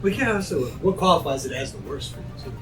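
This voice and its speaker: A man's voice: on the high side, expressive, fast, far from the mic, over music, low-quality recording. A man hosting a casual roundtable discussion, talking as the presenter to a group and audience. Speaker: host.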